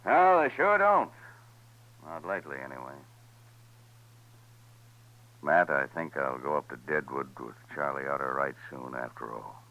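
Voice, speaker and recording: An actor playing a world-weary, tough frontier gunfighter with gruff, folksy phrasing in a 1950s radio western. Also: male voice, extremely low pitch, sing-song, a little slow, long pauses, lo-fi sound, exaggerated voice. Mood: weary, resigned. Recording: humming, noisy 1950s radio recording.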